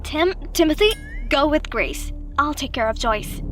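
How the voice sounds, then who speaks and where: A woman voicing a shy, hesitant high school girl who tries to keep the peace in an animated story. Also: a little slow, music in the background. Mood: timid, pleading. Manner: hesitant, faltering.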